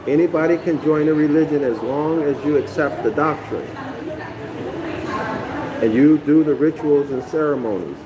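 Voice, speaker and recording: A man's voice: on the high side, moderate pace, long pauses, crowd noise, low-quality recording. A man from North America reading a text aloud and lecturing on it for a live online audience, often stopping to check in with listeners and repeating phrases as he explains. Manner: keeps checking in with listeners, "okay?" and "y'all".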